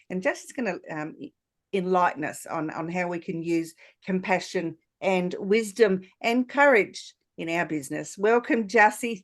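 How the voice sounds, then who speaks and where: A woman in her sixties hosting a podcast, introducing and chatting with a guest in an effusive, informal, rambling way. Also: medium pitch. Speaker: podcast host. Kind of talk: podcast introduction and interview chat.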